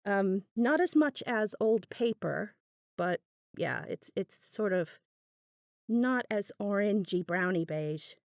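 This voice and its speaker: A woman's voice: medium pitch, animated, slow pace, dry recording, clean studio sound. A woman giving a casual craft tutorial voiceover, chatty and thinking aloud as she goes. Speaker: craft channel host.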